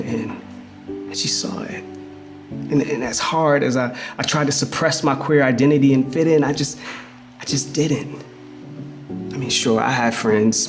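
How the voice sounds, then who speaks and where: An actor playing a reflective man in a theatrical first-person memoir monologue, telling it conversationally with emphasis and pauses. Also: mid-range voice, lightly acted. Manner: conversational, emphatic storytelling with pauses and repeated words. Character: reflective queer man fondly recalling his hustling grandmother. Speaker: actor in a dramatic reading of a memoir. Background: music.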